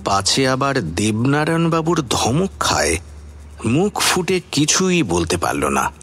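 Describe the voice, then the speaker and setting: A man playing the storyteller of a suspense radio play, with measured, theatrical scripted narration. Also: medium pitch, big pitch swings, fairly bright tone. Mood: measured, suspenseful. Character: storyteller narrating a suspense tale, slipping into characters. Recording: music bed behind the voice.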